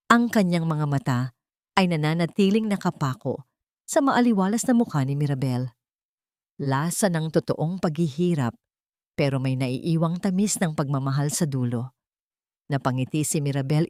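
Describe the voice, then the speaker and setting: A woman's voice: lowish voice, darkish tone, dry recording, studio quality. A woman with a Filipino accent reading a dramatic scripted love story as narrator.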